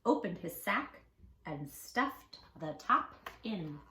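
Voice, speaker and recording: Lady doing kids read aloud, super lively and bright, talks right to the children, bit echoey. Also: fairly low pitch, takes it slowly, a little hiss or hum. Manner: expressive, affectionate, addresses child listeners directly. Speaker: host reading children's storybooks aloud.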